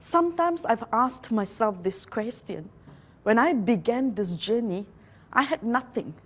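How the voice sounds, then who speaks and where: Slow, earnest high-voiced Malaysian woman. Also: lively intonation. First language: a Malaysian local language. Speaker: motivational speaker. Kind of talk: motivational talk.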